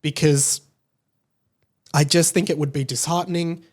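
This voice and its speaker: Male podcast host, slightly high-pitched, conversational and rambling monologue style. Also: fairly even intonation, measured pace, a little mellow. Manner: rambling, conversational, often tags points with "right?". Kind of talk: casual solo podcast monologue.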